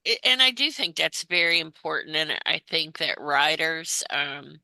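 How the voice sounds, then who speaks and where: A woman's voice: deep voice, measured pace, creaky, pushed and bright, dry recording, low-quality recording. A woman hosting a thoughtful, conversational interview, phrasing her questions in a reflective, tentative way. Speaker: interviewer, host.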